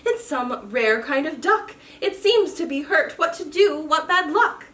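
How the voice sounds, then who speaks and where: A woman's voice: lively intonation, a little slow, fairly bright tone, reverberant, far from the mic. A woman doing a kind young boy knight. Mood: fussing. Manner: high, fussing, solicitous. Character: kind young boy who wants to be a knight, eager and fussing.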